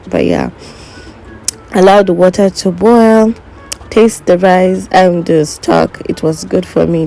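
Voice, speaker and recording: A young woman narrates a cooking video in English, which is not her first language, talking through each step slowly and pausing to fix her own slips. Her voice sits fairly low, with a gravelly, mellow tone. A faint hiss of noise runs under the recording. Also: poor audio. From Nigeria. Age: young adult. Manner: unhurried, self-correcting, instructive. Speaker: cooking vlogger.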